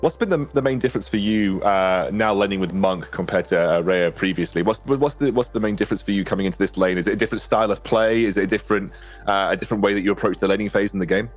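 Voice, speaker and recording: Guy casting esports from home, low voice, talks fast, lots of uhs. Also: faint music behind. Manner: fast, chatty, filler-heavy. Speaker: esports caster and host. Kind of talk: casual esports desk chat. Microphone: headset on a webcam call.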